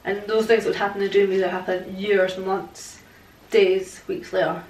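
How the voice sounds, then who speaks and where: A woman's voice: medium pitch, flat delivery, moderate pace, a little mellow, a little hiss or hum. A young woman, answering interview questions hesitantly with plenty of fillers from a living room sofa. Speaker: guest, paranormal investigator. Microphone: far camera. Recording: echoey room from a distance.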